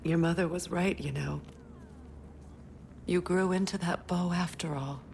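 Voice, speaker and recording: A wise, maternal goddess in a game cutscene. Her voice is gravelly and creaky, often dropping to a breathy whisper. A faint bed of ambient noise sits behind her. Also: fairly low pitch, steady tone, unhurried, a little mellow. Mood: proud, tender. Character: wise, tender, grieving warrior goddess, maternal mentor. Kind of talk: video game cutscene acting.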